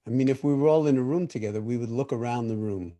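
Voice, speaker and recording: An older man, a meditation teacher, talking in a calm, reflective way during a livestream question session. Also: medium pitch, fast. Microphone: video call.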